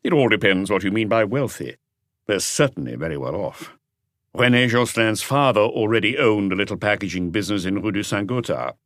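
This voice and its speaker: A man's voice: lowish voice, a bit bright, dry recording, clean studio sound. A man voicing an earnest, talkative doctor who explains things with assurance in an audiobook mystery. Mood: explanatory. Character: earnest male doctor, talkative and conscientious family friend.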